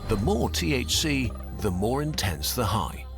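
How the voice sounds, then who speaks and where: A man giving scripted explainer narration with a lively, clear delivery. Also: mid-range voice, measured pace. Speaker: educational video narrator. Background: background music.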